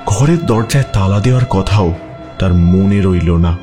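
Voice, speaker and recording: A man's voice: low-pitched, expressive, measured pace, over music. A man giving a dramatic, suspenseful scripted narration of a horror story. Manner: suspenseful, theatrical.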